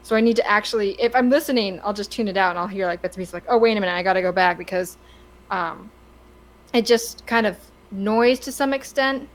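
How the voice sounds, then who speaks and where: A woman's voice: medium pitch, fairly quick. A woman chatting casually and giving her opinions on a podcast, stammering and restarting her sentences often. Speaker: podcast co-host.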